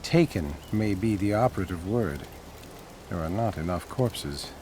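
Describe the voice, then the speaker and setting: A man's voice: sits low, lively intonation, measured pace, noisy background. A man playing a wry, watchful fighting companion in a fantasy game, dry and pointed. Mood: wry, alert.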